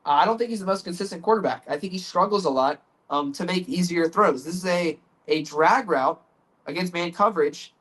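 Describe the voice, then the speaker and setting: A man's voice: high voice, brisk, a bit bright, rough recording. A man hosting a sports podcast, congested from the flu, talking through football film in an earnest, chatty way that stumbles and repeats words. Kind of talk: casual football film breakdown.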